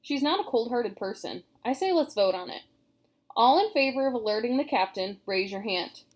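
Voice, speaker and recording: A woman's voice: fairly high pitch, lively intonation, moderate pace. A woman reading aloud, voicing a thoughtful, caring young girl who speaks earnestly. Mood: persuasive.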